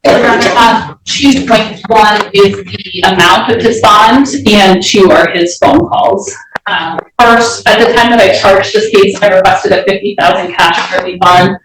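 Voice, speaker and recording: A woman's voice: mid-range voice, measured pace, crowd noise. Female prosecutor, mid-range voice, methodical courtroom argument, heavily reverberant and distant recording. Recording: very echoey courtroom, distant mic.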